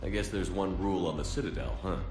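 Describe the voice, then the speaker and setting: A man's voice: low-pitched, quick pace, natural voice. A man playing a hard-nosed military commander in a video game, blunt and clipped. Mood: terse, businesslike. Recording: roomy sound, faint music behind.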